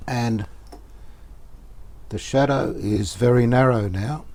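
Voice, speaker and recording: A man's voice: medium pitch, takes it slowly. A man gives casual hands-on repair commentary, thinking aloud in a tentative way. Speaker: hobbyist radio restorer presenting a repair video. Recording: steady background noise.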